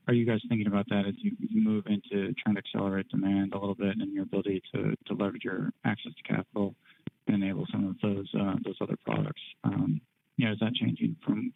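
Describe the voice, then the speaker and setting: A man's voice: lowish voice, gravelly, no echo. A man speaking as a financial analyst, putting unscripted questions to executives over a phone line on an earnings call.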